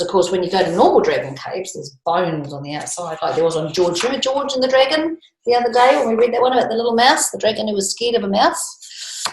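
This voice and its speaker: A woman's voice: mid-range voice, slight background noise. A woman reading a picture book to children, expressively, drawing out key words and adding chatty asides. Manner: emphatic, drawn-out key words, questions to young listeners. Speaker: children's storytime reader. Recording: echoey room.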